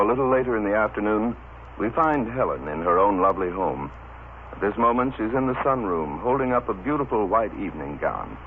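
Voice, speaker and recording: Male soap announcer: low-pitched, scripted storytelling, narrow-band old radio sound. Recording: muffled, noisy vintage radio recording.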